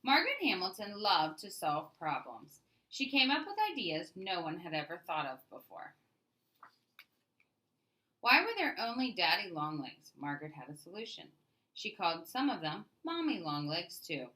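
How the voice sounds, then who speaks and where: A woman with a bright, crisp-edged voice that sits a little low reads a children's book aloud. She narrates expressively, phrasing deliberately and leaning on key words as a storyteller would. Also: very animated.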